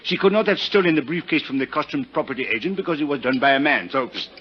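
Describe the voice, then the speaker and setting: Theatrical French police inspector, very animated, acted by a man. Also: a little high, quick pace, lightly acted. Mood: argumentative, sly. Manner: florid, teasing, savoring his words. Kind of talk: 1950s radio drama acting. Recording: muffled, hissy 1950s radio recording.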